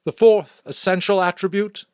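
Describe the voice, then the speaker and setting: A man's voice: sits high, fairly even intonation, normal speed, smooth, dry recording, studio quality, voice pushed up, close to an everyday voice. An American man voicing a solemn, commanding female chief elder in a storybook, with ceremonial, emphatic oratory.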